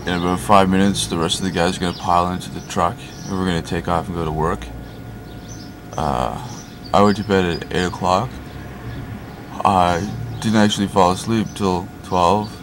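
A man's voice: sits low, fairly even intonation, normal speed, background noise. A tired young man in his twenties recording a groggy video diary inside a parked truck, on a handheld camcorder. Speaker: backpacker and construction worker. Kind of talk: early-morning video diary. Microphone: camcorder held at arm's length.

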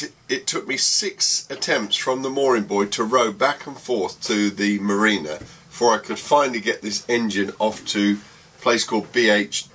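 A man's voice: medium pitch, bright, forceful tone, a little hiss or hum. A man in his sixties co-hosting a sailing vlog, telling stories with mock indignation and teasing banter inside a small yacht cabin. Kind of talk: casual banter.

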